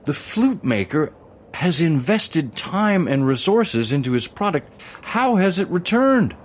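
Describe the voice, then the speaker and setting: An actor playing a pompous, excitable ghost of an old-time explosives salesman, narrating an audio musical in grandiose, old-fashioned language. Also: fairly high pitch, unhurried, a little hiss or hum. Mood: baffled, indignant.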